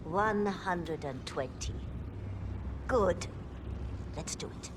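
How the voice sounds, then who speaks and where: An actress playing a cold, impatient scientist overseeing an experiment in a video game, with a curt, clinical manner. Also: female voice, lowish voice, lively intonation, drawn-out words, background noise.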